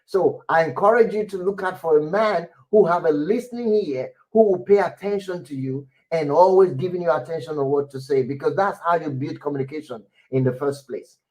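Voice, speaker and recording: A middle-aged man with a loud, airy voice, giving relationship advice to women in an emphatic way. He repeats his points and keeps checking in with his listeners. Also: fairly high pitch, brisk, few pauses, rough recording.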